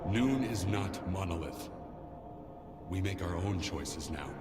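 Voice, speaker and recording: An actor playing a grave, solemn leader of a free ex-cult faction in a video game cutscene, speaking in a measured, deliberate way. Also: male voice, low-pitched, lively intonation. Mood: grave, resolute. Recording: echoey, loud music behind.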